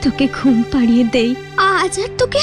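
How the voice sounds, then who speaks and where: Poor ailing cartoon mother, high voice, slow halting pleading, rural Bengali accent, music underneath. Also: very animated. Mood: tender, sorrowful. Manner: halting, repeats words, pleading. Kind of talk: melodramatic cartoon voice acting. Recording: music behind the voice.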